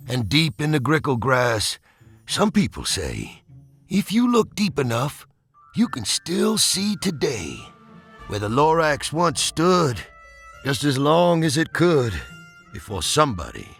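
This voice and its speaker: A man reads a children's storybook with very lively, swooping intonation and a slow, deliberate pace. His delivery is theatrical and bright, with music playing behind him. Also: medium pitch. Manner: theatrical, drawn-out, deliberate phrasing. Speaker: storybook reader. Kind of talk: expressive children's read-aloud, rhyming.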